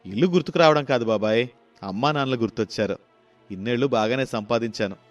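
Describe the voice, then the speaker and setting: A man's voice: mid-range voice, quick pace, dull audio, soft background music, close to an everyday voice. A man voicing a caring, dutiful grown son with an earnest, pleading manner in a moral story. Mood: earnest concern.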